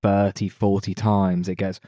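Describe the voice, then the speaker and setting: A man gives his opinions as a podcast co-host. His voice is a bit low and his talk is casual and conversational. The sound is very dry, without room echo. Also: little pitch movement, unhurried, warm tone. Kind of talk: casual film discussion.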